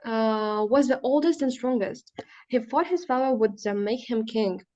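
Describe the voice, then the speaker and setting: Russian woman reading aloud haltingly. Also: a little high, warm tone, lo-fi sound. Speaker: club participant. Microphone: video call.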